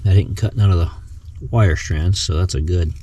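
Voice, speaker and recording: Low-voiced man narrating a hands-on job. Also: normal speed, dark tone, a little hiss or hum. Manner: thinks aloud while working. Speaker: host of a DIY vehicle radio install video. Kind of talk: casual hands-on tutorial narration.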